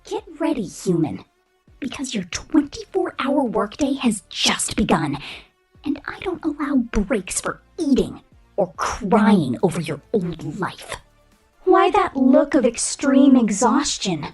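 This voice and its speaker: A woman voicing a vain, domineering female robot corporate boss who scorns humans, in a condescending, menacing ASMR roleplay with a whispery, deliberate delivery. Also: fairly high pitch, big pitch swings, darkish tone, faint music behind, heavily acted. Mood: possessive, condescending. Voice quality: breathy, whispery. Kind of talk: ASMR roleplay monologue, scripted.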